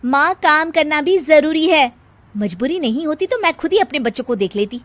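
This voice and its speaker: A woman's voice: sits high, expressive, moderate pace, fairly bright tone, no room sound, pitched above the performer's own voice. A woman voicing an irritable young working mother who complains about her mother-in-law. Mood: defensive. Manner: complaining, defensive.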